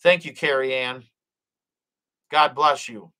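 A man's voice: mid-range voice, steady tone, slow pace, pushed and bright, clean tone. A middle-aged man hosting a live stream, reading the chat aloud, blessing viewers and praying, with plenty of fillers and restarts. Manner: hesitant, many fillers and restarts.

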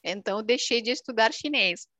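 A young woman from Romania, a native Romanian speaker with a Romanian accent, chatting casually in an expressive, animated way. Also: on the high side, moderate pace, no room sound. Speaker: language video creator. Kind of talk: casual chat.

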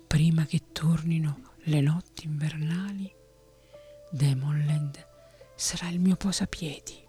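A woman whispering the part of a stern, proud king in a fantasy audiobook, low and slow. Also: darkish tone. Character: stern proud king, older man, commanding.

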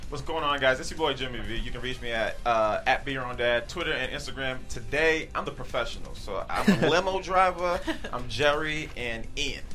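A man's voice: mid-range voice, fairly quick, hardly any pauses, pushed and bright. A man, an actor on a panel, introducing himself casually. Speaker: actor on a table-read panel.

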